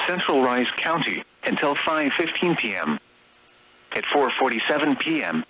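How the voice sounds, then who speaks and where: A man's voice: medium pitch, pushed and bright. A synthetic man's voice reading automated emergency weather bulletins formally in clipped phrases over the radio. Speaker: weather radio warning announcer. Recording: noisy weather radio broadcast.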